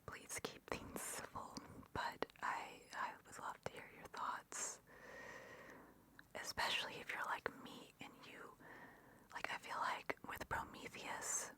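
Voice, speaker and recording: A woman speaking in a breathy whisper, rambling informally and sharing opinions in an ASMR style as the host. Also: a little slow, sharp tone.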